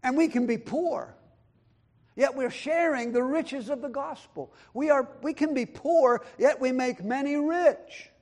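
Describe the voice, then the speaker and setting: High-voiced man preaching with swelling emphasis. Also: a little slow. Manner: emphatic preaching, swings between loud high lines and quiet low asides; quotes scripture and acts out voices of others in the talk. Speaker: pastor. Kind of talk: sermon.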